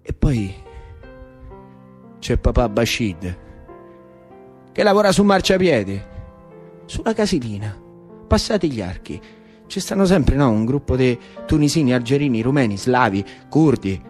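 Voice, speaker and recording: A man with a Roman accent performing a dramatic storytelling monologue, building incantatory lists and repetitions. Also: medium pitch. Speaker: actor-narrator.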